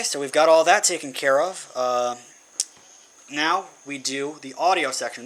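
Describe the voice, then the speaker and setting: Male hobby presenter, forward bright tone, casual hands-on explaining, amateur recording with light background noise. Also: medium pitch. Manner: informal, thinking aloud while working. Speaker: hobbyist presenting an electronics build. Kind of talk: casual how-to demonstration. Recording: noisy amateur recording with insect hum.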